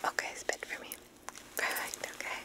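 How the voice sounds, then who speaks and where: A woman whispering breathily and gently, slow and reassuring, close to the microphone, in an ASMR roleplay as a dentist.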